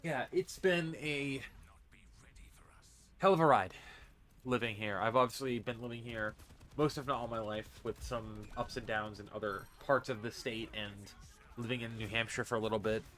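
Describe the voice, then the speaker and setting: A man's voice: medium pitch, normal speed, fairly bright tone. A man from Massachusetts with a faint Boston accent and a stuffy, congested voice, rambling through a casual vlog in his bedroom. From Massachusetts, USA. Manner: rambling, with many restarts and fillers. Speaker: streamer and vlogger. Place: his bedroom with a fan running. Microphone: desk mic on a stand.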